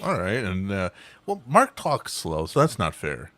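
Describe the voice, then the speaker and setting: A man's voice: lowish voice, huge pitch swings, a little slow, a bit bright, no room sound. A man hosting a casual group podcast over a video call, rambling with restarts and swearing freely. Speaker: podcast host.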